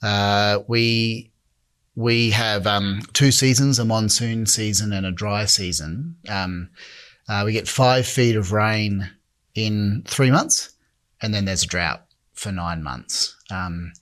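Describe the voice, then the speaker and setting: A lower-voiced Australian man answering interview questions. He speaks in measured stretches broken by frequent ums, and his voice often drops softer at the ends of thoughts. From Northern Territory, Australia. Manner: measured, many ums, trails off quietly. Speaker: company founder, guest.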